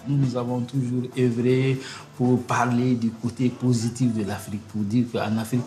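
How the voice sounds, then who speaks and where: A man's voice: medium pitch, level tone, moderate pace, mellow. Earnest male musician over music. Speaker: musician interviewed. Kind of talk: interview.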